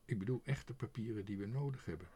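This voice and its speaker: A man playing a gentle, earnest young girl in a storybook, explaining hesitantly and apologetically. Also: lowish voice, quick pace, breathy edge.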